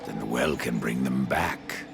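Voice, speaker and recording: An actor playing a grim, curt undead horseman warrior with a gravelly voice in a video game. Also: rumbling low, unhurried, heavy background noise, exaggerated voice. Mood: curt, suspicious. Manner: terse, blunt questioning.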